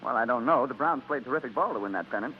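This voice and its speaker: A man's voice: mid-range voice, expressive, quick pace. An American man announcing on 1940s radio, reading scripted sponsor talk in a conversational, persuasive way. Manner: conversational, rhetorical questions to listeners. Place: radio broadcast studio. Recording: muffled, noisy 1940s radio recording.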